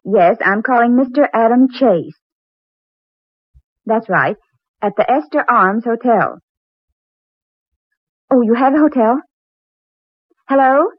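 A woman's voice: fairly high pitch, no room sound. An actress playing a devoted, anxious young woman in love, with the earnest, tender melodrama of 1940s radio acting. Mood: worried. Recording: tinny, band-limited 1940s radio recording with music.

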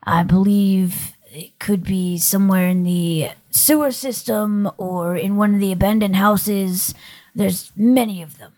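A woman runs a tabletop game as game master. She narrates slowly at a middling pitch, improvising as she goes. Her delivery is hesitant and matter-of-fact. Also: mellow, no echo.

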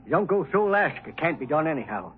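A man's voice: on the high side, big pitch swings, fast. An actor playing a friendly, blunt rustic messenger man, folksy and colloquial, in 1950s radio drama style. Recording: tinny, hissy old radio recording.

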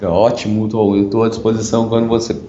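Agreeable guest guy, mellow voice, sounds dull and kinda roomy. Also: on the low side, level tone, slight background noise. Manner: agreeable, quick affirmations. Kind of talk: casual interview chat.

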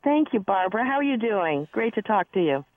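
A hoarse woman calling into a radio show as a spiritual advisor, speaking earnestly and groping for words. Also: mid-range voice, sing-song, brisk, no room sound. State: hoarse, lost her voice. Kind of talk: phone-in radio conversation. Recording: thin phone line, dry.

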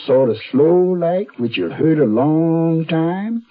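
Rough folksy amateur medic, high husky drawl, unhurried, cheerful, dull 1970s radio recording. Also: male voice, expressive. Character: folksy rough man doctoring a bullet wound, unhurried and cheerful. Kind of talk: 1970s radio drama acting.

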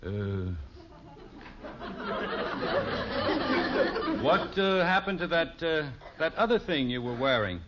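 A man's voice: fairly high pitch, sing-song, normal speed, a loud crowd. An actor playing a flustered, wordy, well-meaning middle-aged suburban father in a 1950s radio sitcom, with an American accent and a hesitant, mock-formal manner. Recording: muffled, noisy old radio recording.

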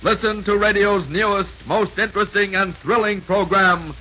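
A man's voice: high-pitched, steady tone, moderate pace, pushed and bright, faint music behind. A man doing scripted 1930s radio announcing in a dramatic, formal, declamatory manner. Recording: hissy, muffled 1930s radio recording.

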